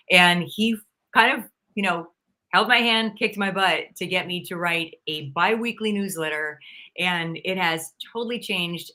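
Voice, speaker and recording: A woman who is a charisma coach, with a bright, sharp tone and a voice on the low side for a woman. She tells stories in a lively way, acting out other people's lines, and laughs freely. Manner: lively storyteller, laughs often; acts out quoted people's lines. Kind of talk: live-stream conversation.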